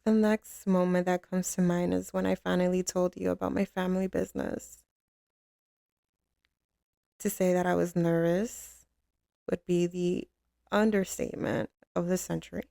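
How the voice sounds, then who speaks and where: A woman's voice: fairly low pitch, level tone, moderate pace, gravelly, long pauses, dark tone, no echo, clean studio sound. A woman playing a tough, commanding mafia boss who turns tender and teasing with her boyfriend, in an intimate ASMR roleplay with streetwise talk. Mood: affectionate, reminiscing. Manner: drawling, teasing, streetwise, with pet names.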